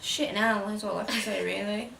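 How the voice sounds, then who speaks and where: A woman co-hosting a casual chat, blunt and incredulous, with halting reactions. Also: medium pitch, fairly even intonation, creaky, a bit bright. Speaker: co-host reacting to book excerpts. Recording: echoey room, distant mic, faint noise.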